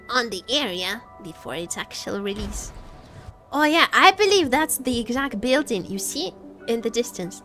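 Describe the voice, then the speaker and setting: A woman's voice: fairly high pitch, a bit bright. A woman chatting casually as she livestreams a game, playfully repeating her phrases to viewers.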